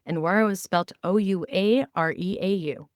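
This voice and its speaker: Earnest woman co-host, lowish voice. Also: normal speed, dry recording, clean studio sound. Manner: earnest, appreciative. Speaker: podcast co-host. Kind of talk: conversational podcast sign-off.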